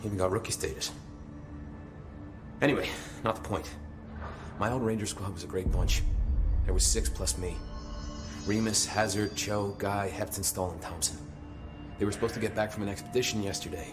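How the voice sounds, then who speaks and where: A man's voice: on the low side, natural voice. An actor playing a friendly former ranger who talks casually and earnestly about his squad.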